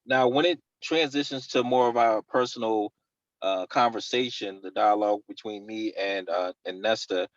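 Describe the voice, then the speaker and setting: A man's voice: fairly low pitch, steady tone, moderate pace, no room sound. A man chatting casually as an actor in an online meeting, agreeable and tentative in how he puts things. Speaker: actor at a table read. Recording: dull, close lo-fi call audio.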